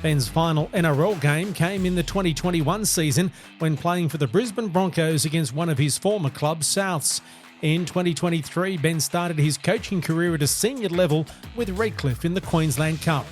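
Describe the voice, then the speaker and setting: A man's voice: on the high side, normal speed. A man reading a scripted introduction as a podcast host. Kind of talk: scripted narration. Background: music under the voice.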